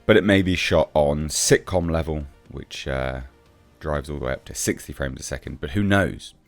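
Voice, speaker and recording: Male reviewer, low-pitched voice, blunt sceptical off-the-cuff commentary over clear background music. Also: moderate pace, no echo. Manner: blunt, sceptical, swears casually. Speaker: film reviewer, channel host. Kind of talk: casual off-the-cuff commentary on film news.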